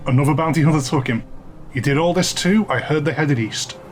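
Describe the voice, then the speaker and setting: An actor playing a battered saloon bartender in a western audio drama. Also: male voice, a little high, fairly quick, soft background music, lightly acted. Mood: shaken. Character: battered male saloon bartender in the Old West.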